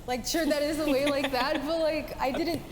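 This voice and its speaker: A woman's voice: high voice, fairly even intonation, moderate pace. A young woman speaking directly and outspokenly on a discussion panel. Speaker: panel participant. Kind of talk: casual panel answer. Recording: reverberant room with faint hiss.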